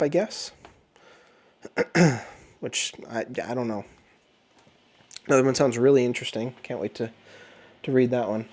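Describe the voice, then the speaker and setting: A man hosting a book review channel, chatting casually while sick with the flu, his voice a bit off, often clearing his throat. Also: medium pitch, a little mellow. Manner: rambling, frequent throat clearing and filler words. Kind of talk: casual chat.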